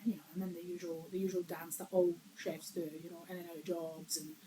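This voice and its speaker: Middle-aged woman, low-ish voice, chatty, many fillers, laughs often, crowd chatter behind. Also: level tone, quick pace, poor audio. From Italy. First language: Italian. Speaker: bakery founder, guest. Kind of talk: casual interview chat. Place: inside a busy bakery.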